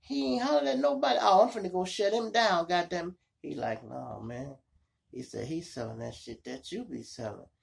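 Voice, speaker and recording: A man with a Chicago accent and a gravelly voice, hosting a show and telling street stories in slangy, animated style, acting out the dialogue. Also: fairly high pitch, normal speed. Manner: animated, slangy, addressing listeners as y'all. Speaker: online show host and storyteller. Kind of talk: casual street storytelling with acted-out dialogue.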